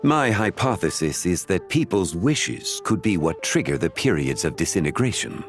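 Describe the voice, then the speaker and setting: A man playing a calm, learned, courteous scholar of ancient lore in a video game, speaking in a formal, measured, eloquent way. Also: sits low, faint music behind. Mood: composed, explanatory. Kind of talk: video game dialogue acting.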